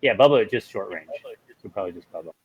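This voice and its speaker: A man's voice: on the low side, animated, quick pace, dull audio, slight background noise. A man playing a tabletop role-playing game, chatting casually about rules and speaking for his character.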